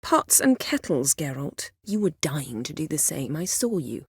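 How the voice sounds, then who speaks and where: A proud video game sorceress with a fairly low voice and a very dry, close sound. She is cool and commanding, with a wry, sharp tongue. Her delivery stays measured and imperious. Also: big pitch swings, husky, no echo, heavily acted. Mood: dry, sardonic.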